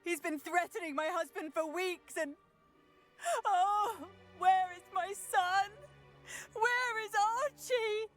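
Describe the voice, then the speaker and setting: A woman's voice: very high voice, slow. A woman playing a middle-aged widow and anxious mother in a video game, sorrowful and anxious. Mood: distraught. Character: grief-stricken widowed mother, anxious, gentle villager witch. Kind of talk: video game character dialogue. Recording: music behind the voice.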